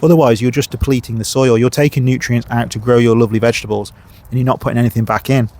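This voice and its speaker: A man with a cold, a little sniffly, giving gardening tips outdoors at his allotment. He talks in a relaxed, chatty way, often pausing on uhs and ums, at an average pitch for a man. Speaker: gardening channel host. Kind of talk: casual how-to vlog. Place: outdoor allotment by a main road.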